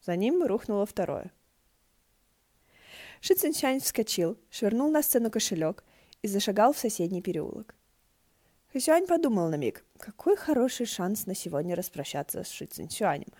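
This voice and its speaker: A woman reading fan fiction aloud as an expressive audiobook narration. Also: mid-range voice, moderate pace, no room sound, studio quality.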